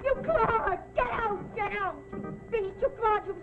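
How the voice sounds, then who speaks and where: A bitter, self-pitying middle-aged wife in an old television melodrama. She speaks very slowly and accusingly, in a theatrical way. Music runs under the thin, tinny recording. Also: very high voice. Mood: hysterical outrage. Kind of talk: 1950s television drama acting.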